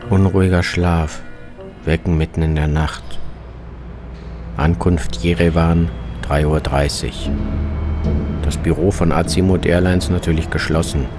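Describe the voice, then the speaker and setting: A man with a very deep voice narrates a literary radio travel piece. His phrasing is clipped and deliberate, in short scripted fragments, with music clearly playing underneath. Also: fairly even intonation. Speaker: author narrating his own journey.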